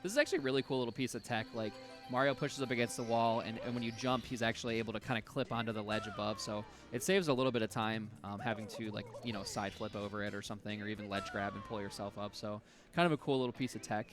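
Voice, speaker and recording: A man doing live commentary on a speedrun, relaxed and chatty, explaining tricks and laughing often. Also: on the low side, fast, few pauses. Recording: music playing behind.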